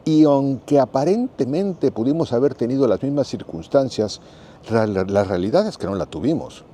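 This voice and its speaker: A Mexican man in his sixties, thinking aloud in a plain, unhurried, conversational monologue for his vlog. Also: mid-range voice, lively intonation, mellow, slight background noise. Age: 60s. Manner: unhurried, thoughtful, plain-spoken and explanatory. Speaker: vlogger. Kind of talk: reflective casual monologue.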